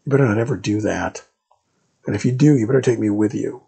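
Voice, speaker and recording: A man's voice: lowish voice, fast, creaky, mellow, husky. A man voicing a clever, sarcastic boy nearing his teens, exasperated with his father.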